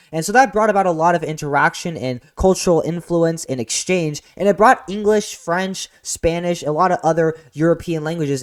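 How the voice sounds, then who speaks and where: A young man runs through a review lesson at a rapid clip. His voice sits a little high, and his delivery is casual and explanatory, with quick offhand asides. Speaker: teacher.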